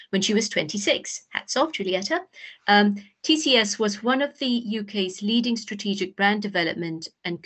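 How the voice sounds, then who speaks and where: A woman host introducing a guest speaker in a formal, scripted way with occasional fillers. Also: medium pitch.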